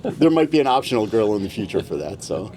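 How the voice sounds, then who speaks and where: A man giving a measured, articulate product presentation as a company representative at a trade show. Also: on the low side, sing-song, a little hiss or hum. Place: indoor exhibit room at audio show. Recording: music playing in a show room. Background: loud music.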